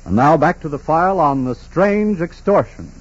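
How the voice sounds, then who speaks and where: A man announcing a scripted 1940s radio sponsor message in a formal, emphatic, oratorical manner, with a husky voice. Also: on the high side, lively intonation, normal speed, loud music behind the voice. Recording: muffled 1940s radio recording.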